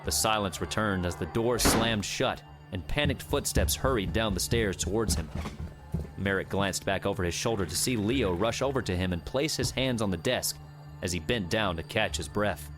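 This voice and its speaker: A man's voice: on the low side, moderate pace, over music. A man reading a scripted adventure audiobook as a dramatic narrator.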